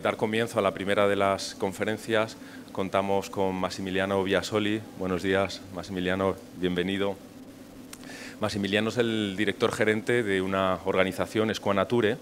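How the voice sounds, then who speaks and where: A man's voice: deep voice, a bit bright, slight background noise. A man moderating a conference, introducing the speakers formally but with hesitant fillers and restarts. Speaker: event moderator.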